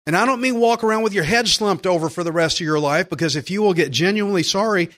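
A man preaching a sermon, earnest and emphatic, slowing down to stress key phrases. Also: high-pitched, fairly bright tone. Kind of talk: sermon with scripture readings.

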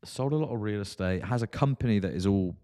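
A man with a fairly low voice co-hosts a podcast. He chats casually, rambles through stories and laughs easily with his co-host. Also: mellow. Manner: jokey, rambling, quick to laugh.

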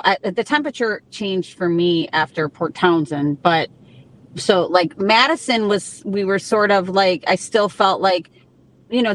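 A woman's voice: mid-range voice, a bit bright, poor audio. Woman chatting casually on a radio panel. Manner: conversational, many fillers. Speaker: panel guest.

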